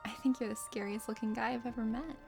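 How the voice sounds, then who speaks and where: A woman voicing a friendly, awkward young adventurer heroine, hesitant, casual and gently teasing, in an ASMR play-through of a dating game. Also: a little high, fairly even intonation, quick pace, creaky, music in the background. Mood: kind, encouraging.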